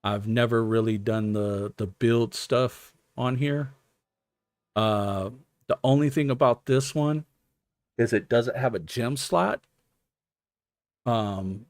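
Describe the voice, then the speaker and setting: A man giving casual gaming stream commentary, explaining things hesitantly as he thinks out loud. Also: mid-range voice, dry recording. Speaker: game streamer.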